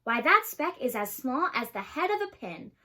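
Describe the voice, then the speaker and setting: Mocking little kangaroo joey, young woman reading, high and squeaky, sneering. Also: very animated, moderate pace, pushed and bright, heavily acted. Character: small scornful young kangaroo in a pouch.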